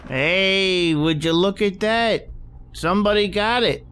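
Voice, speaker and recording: Man as a high-voiced streetwise cabbie. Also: takes it slowly, fairly bright tone, slight background noise. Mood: chatty. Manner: slangy, casual. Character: brash, chatty, streetwise male cab driver. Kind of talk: old-time radio drama acting.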